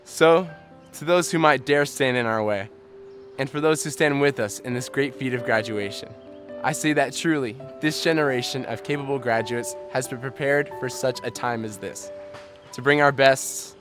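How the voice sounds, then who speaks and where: A man's voice: mid-range voice, normal speed, music in the background. A young man giving an earnest, formal graduation speech to his fellow graduates in an outdoor setting. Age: young adult. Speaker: graduating student speaker. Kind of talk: prepared commencement speech. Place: backyard outdoors.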